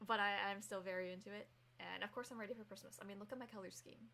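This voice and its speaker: A woman's voice: mid-range voice, steady tone, rapid-fire, gravelly, sharp tone. A woman streamer chatting casually and reacting on a livestream, speaking off the cuff. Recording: faint background noise under voice.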